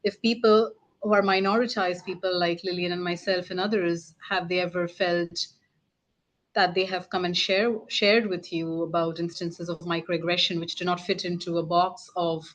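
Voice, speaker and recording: A woman with a slightly low voice hosts an online webinar over a video call. She thanks her speakers and poses broad, musing questions to the group, thinking out loud as she goes. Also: rough recording. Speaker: host. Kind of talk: moderating a webinar.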